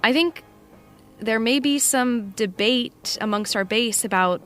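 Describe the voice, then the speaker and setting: A woman's voice: on the high side, measured pace, a bit bright, faint music behind. A woman playing a shrewd, opinionated TV colleague with a pointed, sarcastic manner, in a naturalistic audio drama. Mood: careful.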